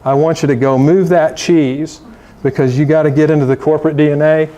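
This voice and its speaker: A man presenting at a design conference with a slightly high-pitched voice. He talks in an emphatic, exhortative way, dropping the odd filler as he pushes his points home. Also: fairly even intonation, fairly quick, warm tone. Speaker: conference speaker. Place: conference room.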